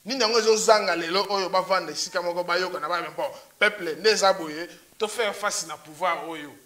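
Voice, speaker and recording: High, bright-voiced emphatic man. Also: quick pace. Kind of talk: political discussion.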